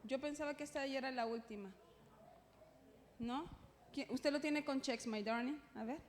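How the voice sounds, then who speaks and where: High-voiced Peruvian woman teaching in a hall. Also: normal speed. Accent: Peruvian Spanish. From Peru. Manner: conversational, playful asides, frequent checking tags. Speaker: church teacher leading a class for servers. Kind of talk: informal religious teaching. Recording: echoey hall with long reverb.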